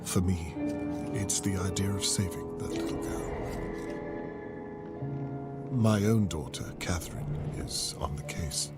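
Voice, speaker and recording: A very low-voiced, weary private investigator of advancing years, narrates a noir game story. He is brooding and solemn, slipping into a hush. Loud music plays behind him. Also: takes it slowly, pauses often. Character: ageing male private investigator, brooding, haunted father.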